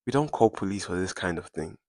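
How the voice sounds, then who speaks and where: Man playing a grim, deep-voiced underworld fixer. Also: animated, no room sound, studio quality. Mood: dark, firm. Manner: dark, measured, decisive. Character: grim, hard-nosed Nigerian man with underworld connections.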